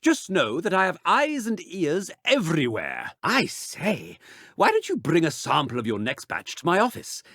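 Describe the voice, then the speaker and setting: A man's voice: on the high side, huge pitch swings, moderate pace, fairly bright tone, breathy edge, no room sound, clean studio sound, heavily acted. A man acting as a pompous, haughty headmaster in a video game, theatrical and given to flustered stammering. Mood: haughty, scornful. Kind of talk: scripted game dialogue.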